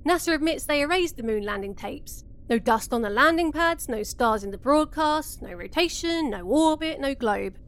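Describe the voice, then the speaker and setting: A woman's voice: sits high, lively intonation, normal speed, hardly any pauses. A woman playing a bossy, impatient conspiracy believer, brusque and emphatic, in an audio comedy drama. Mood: brusque, worked up.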